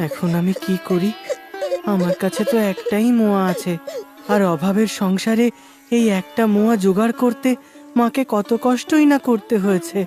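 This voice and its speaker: A woman voicing a poor, gentle young boy who thinks aloud and soothes softly, with music playing behind. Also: medium pitch, steady tone, moderate pace, lightly acted. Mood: musing. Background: background music.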